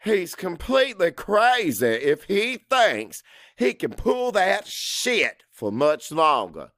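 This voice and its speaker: Guy playing cocky macho salesman telling his story, scornful, pitch all over the place, unhurried, dry audiobook sound. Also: big pitch swings, a bit bright, dry recording, full character voice. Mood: scornful, indignant. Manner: brash, scornful. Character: crude, cocky salesman, macho, resentful, foul-mouthed. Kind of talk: first-person dramatic audiobook narration.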